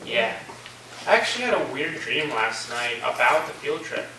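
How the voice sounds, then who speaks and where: A man's voice: medium pitch, very animated, moderate pace, sharp tone. A man playing an easygoing, curious college student with a casual, slightly hesitant delivery in a staged reading. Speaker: actor in a staged play reading. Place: live staged reading in a reverberant room. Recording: echoey room, distant mic, faint noise.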